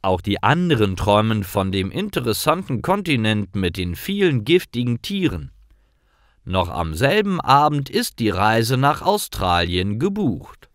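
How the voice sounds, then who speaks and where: A man narrating scripted stories for language learners in simple, clear sentences. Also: on the low side, very animated, unhurried, no echo, clean studio sound.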